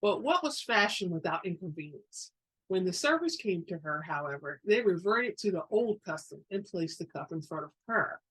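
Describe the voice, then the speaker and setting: A woman's voice: lowish voice, animated, moderate pace, a bit bright, slightly rough, poor audio. A woman giving a dramatic reading of fiction as a storybook narrator. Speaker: audiobook-style reader.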